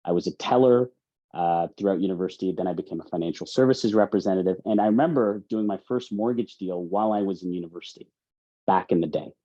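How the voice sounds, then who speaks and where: A man's voice: sits low, fast, mellow. A man in his thirties with a Canadian accent giving casual, chatty interview answers, often repeating himself. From Ontario, Canada. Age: 30s. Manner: chatty, repeats words and phrases. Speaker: mortgage specialist, interview guest. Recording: dull lo-fi audio.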